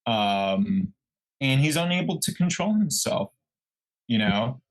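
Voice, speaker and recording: A man's voice: medium pitch, sing-song, takes it slowly, poor audio. Male podcast co-host, mid-range voice, conversational and reflective, feeling his way through each point. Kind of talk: casual true crime discussion.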